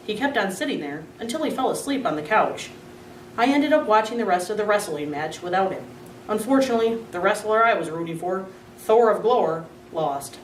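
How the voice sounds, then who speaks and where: A woman, a school secretary and parent, reading a children's novel aloud in an expressive storybook manner. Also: mid-range voice, slight background noise. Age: adult. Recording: roomy, distant mic.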